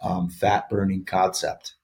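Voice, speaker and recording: A man's voice: low-pitched, flat delivery, slow, a little mellow, a little hiss or hum. A man in his thirties, a former pro hockey player, explaining things casually as a podcast guest and hedging his claims. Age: 30s. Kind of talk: casual interview chat.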